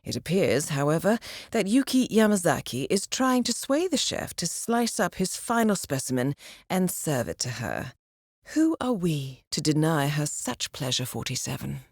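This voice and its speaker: An actress playing a poised, dryly amused intelligence handler who briefs an assassin in a video game, measured and formal. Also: female voice, low voice, very animated, darkish tone, no room sound, clean studio sound, full character voice. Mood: dryly wry. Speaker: mission handler.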